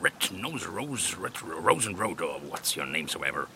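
A man playing a jovial English-speaking Santa Claus in a children's radio play, with a gravelly voice that mixes English into German. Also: low-pitched, very animated, normal speed, heavy background noise, full character voice. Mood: puzzled, blustering.

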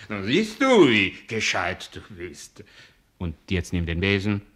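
A good-natured, simple zoo keeper in a radio play. The voice is lowish and bright, very animated and coaxingly familiar. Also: male voice, slow pace, exaggerated voice. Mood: fond, teasing. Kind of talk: radio drama.

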